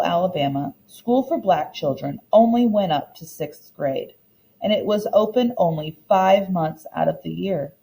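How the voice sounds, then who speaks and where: A woman's voice: fairly low pitch, warm tone, poor audio. A woman teacher reading a children's book aloud and explaining it in simple terms for young listeners.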